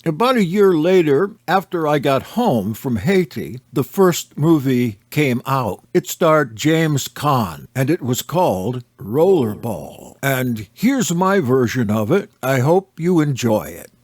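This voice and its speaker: A man's voice: mid-range voice, very animated, takes it slowly, few pauses, no echo, studio quality. A man introducing a short story that he is about to read aloud, speaking as its narrator. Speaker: narrator reading his own version of a short story.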